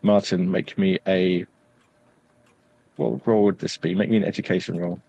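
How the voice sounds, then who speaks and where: Guy running a horror tabletop game, lowish voice, pauses for suspense. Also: flat delivery, creaky, mellow, husky, lo-fi sound. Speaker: game master.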